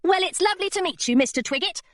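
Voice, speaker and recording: A woman's voice: very high-pitched, expressive, fast talker, no echo, clean studio sound, pitched above the performer's own voice. A woman voicing an eager, polite and spirited young pirate girl in a storybook. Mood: polite, excited. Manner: eager, well-mannered.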